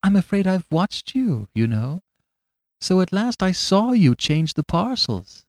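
Father Brown, a timid little priest voiced high by a man. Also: very animated, measured pace, no room sound, studio quality, full character voice. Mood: mild. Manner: mild, apologetic, eager. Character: small, timid, vague country priest.

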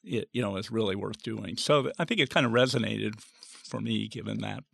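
Policy researcher interviewee: American male, very creaky voice, halting and reflective. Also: fairly low pitch, fairly bright tone. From western United States. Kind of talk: thoughtful interview answers.

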